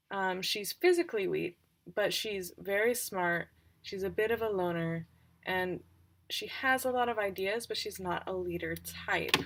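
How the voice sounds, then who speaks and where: Female game master, informal livestream recap. Slow, halting delivery, gravelly fry, thinks aloud. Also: lowish voice, pushed and bright. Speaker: game master introducing player characters. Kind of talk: casual recap of roleplaying characters on a livestream.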